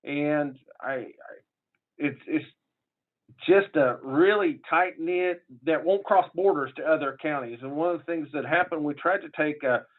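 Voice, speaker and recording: A man with a southern Illinois accent presents at a conference in a folksy, rambling way, often stopping to restart his sentences. His voice sits a little high. The sound is thin and tinny, like a phone line or video call. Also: unhurried. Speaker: public health association presenter.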